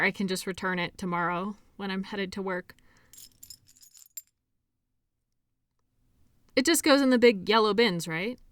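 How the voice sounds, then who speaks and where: A shy, caring female doctor, voiced by a woman for an intimate audio roleplay. She speaks softly at a middling pitch, with a hesitant, teasing and admiring manner. The sound is close and very dry, with no room around it. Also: no echo. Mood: flustered, shy. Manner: soft, hesitant, giggly, conversational.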